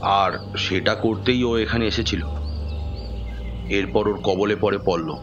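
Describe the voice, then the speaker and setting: Man doing a calm occultist giving counsel, lowish voice, bit muffled w music behind. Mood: calm, assuring. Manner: measured, advising. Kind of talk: Bengali audio drama acting. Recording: muffled audio with music underneath.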